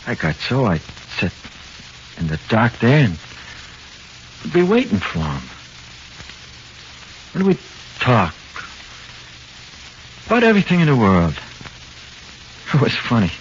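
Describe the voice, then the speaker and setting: An actor playing a frightened, slangy condemned convict with an American accent in a 1940s radio horror play, halting and pleading. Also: male voice, mid-range voice. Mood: bitter, confiding. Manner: slangy, halting, swinging from flat confession to anxious pleading. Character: frightened condemned convict awaiting execution, pleading, uneducated tough. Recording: muffled, hissy 1940s radio recording.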